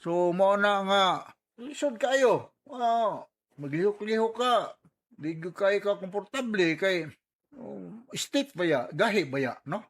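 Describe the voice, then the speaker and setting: A man's voice: sits high, animated, takes it slowly. A man with a Cebuano accent giving conversational advice as a radio host, with joking asides and some English mixed in.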